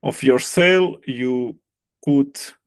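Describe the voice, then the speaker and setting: A man presenting a live software walkthrough, halting and often repeating words as he explains. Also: mid-range voice, clean tone, no room sound, studio quality. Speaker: software presenter and sailing analyst.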